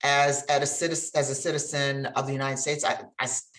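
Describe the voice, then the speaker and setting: A woman with a notably low voice, giving her view on a panel. She is from Switzerland and talks conversationally, with earnest emphasis. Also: level tone, a little fast, a bit bright. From grew up in Geneva, Switzerland.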